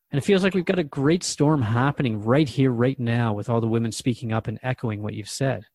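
A man's voice: medium pitch, darkish tone, no room sound. Man as an earnest radio host, close dry sound. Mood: earnest, unsettled. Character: Jeff, earnest radio host questioning a spirit. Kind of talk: radio drama interview, conversational.

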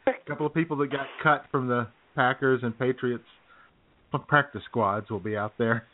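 A man's voice: mid-range voice, fairly even intonation, moderate pace. An American man chatting casually as a talk show co-host, with an easygoing manner. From United States. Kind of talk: casual banter. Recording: thin phone-like audio.

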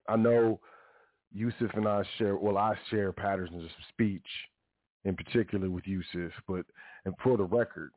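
A man hosting his own show, talking in a rambling, casual monologue full of restarts and asides. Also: dry recording. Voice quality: deep voice. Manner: rambling, frequent self-corrections and "you know". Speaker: podcast host. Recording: dry, phone-like narrow sound.

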